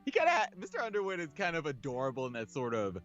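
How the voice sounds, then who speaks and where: A man reading a visual novel aloud as a game streamer, with casual, expressive commentary along the way. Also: on the high side, quick pace. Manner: animated, expressive. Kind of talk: let's play narration and casual commentary. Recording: dull audio with music underneath.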